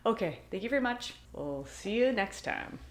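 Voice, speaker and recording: A woman's voice: medium pitch, expressive, moderate pace. A woman in a book club podcast giving her thoughts in a casual, chatty way over a video call. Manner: chatty, laughs often. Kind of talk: casual chat and solo recap. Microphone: computer mic on video call. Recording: roomy echo.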